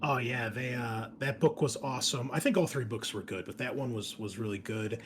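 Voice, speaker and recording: A man's voice: mid-range voice, fairly quick, a little hiss or hum. A man hosting and running a tabletop game session, chatting casually and welcoming his players in a friendly way. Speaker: game master and show host.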